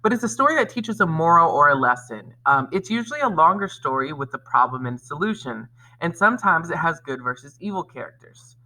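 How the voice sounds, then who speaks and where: A man's voice: fairly high pitch, sharp tone, rough recording. A man teaching young pupils on video, speaking to them directly and reading aloud expressively. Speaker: elementary school teacher. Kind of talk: video lesson and read-aloud for children.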